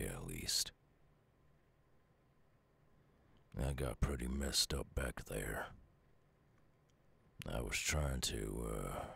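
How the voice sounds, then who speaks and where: Very deep, gravelly Southern werewolf. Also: male voice, a bit bright, full character voice. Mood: weary, wounded. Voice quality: gravelly, husky. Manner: halting, low drawl. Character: gruff wounded male werewolf outcast, weary and guarded. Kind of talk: slow ASMR audio roleplay.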